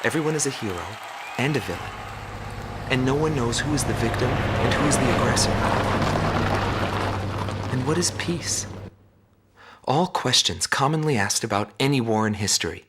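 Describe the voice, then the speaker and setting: An actor playing a journalist who narrates a war documentary in a measured, grave tone. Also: male voice, medium pitch, animated, over a noisy crowd, very noisy, lightly acted. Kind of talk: scripted narration.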